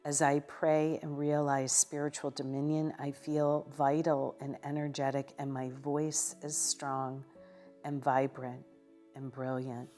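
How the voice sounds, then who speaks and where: A woman minister giving a contemplative devotional teaching in a living room, with measured delivery and occasional hesitations. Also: extremely low pitch, little pitch movement, warm tone, soft background music. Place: living room with a sofa, indoors.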